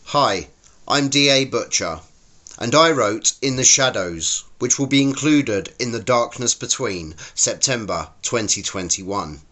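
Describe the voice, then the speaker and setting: Bright, forceful British man. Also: mid-range voice, animated, unhurried. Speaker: author. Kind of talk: short greeting to viewers.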